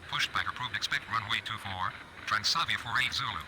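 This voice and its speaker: A man's voice: fairly low pitch, brisk. A man as a formal, businesslike airline pilot in a simulator game, giving scripted readbacks over aircraft radio. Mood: brisk, formal. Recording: noisy cockpit radio transmission.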